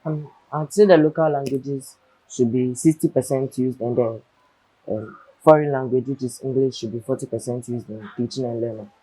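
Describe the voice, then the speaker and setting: A man's voice: medium pitch, steady tone, normal speed, mellow, husky, rough recording. A young man student, answering questions hesitantly with many ums and a polite "yes, please". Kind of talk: answering in a discussion.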